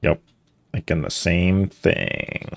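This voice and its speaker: A man's voice: low voice, big pitch swings, takes it slowly, gravelly, noisy background. A man giving casual, offhand live commentary as a video game streamer, with a dry manner.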